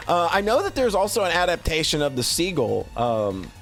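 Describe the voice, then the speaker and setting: Animated, higher-voiced man reviewing casually. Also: soft background music. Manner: halting, with frequent self-corrections and repeated words. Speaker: streamer reviewing visual novels. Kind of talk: casual, unscripted ranking commentary.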